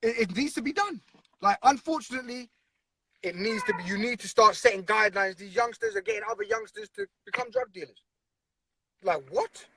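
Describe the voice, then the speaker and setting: A man's voice: very high voice, very animated, a little fast, vocal fry, bright, forceful tone, slightly rough, poor audio. A man talking earnestly and bluntly in a casual conversation, often repeating himself.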